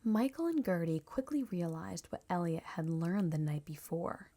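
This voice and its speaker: A woman reading a children's storybook aloud in a measured, expressive storytelling manner. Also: fairly low pitch. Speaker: storybook reader. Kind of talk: scripted children's story narration.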